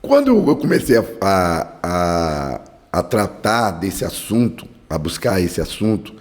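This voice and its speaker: A hoarse man leading an earnest, fervent Bible study teaching with prayer. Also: sits low, very animated, slow pace. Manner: earnest, fervent preaching cadence. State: hoarse from a cold. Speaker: Bible study podcast host. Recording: long hall reverb.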